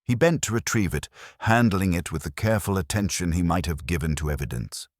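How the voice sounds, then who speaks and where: Very deep-voiced male storyteller. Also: dry recording. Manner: measured, literary storytelling with dry irony. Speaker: audiobook narrator. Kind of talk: scripted narration of a mystery story.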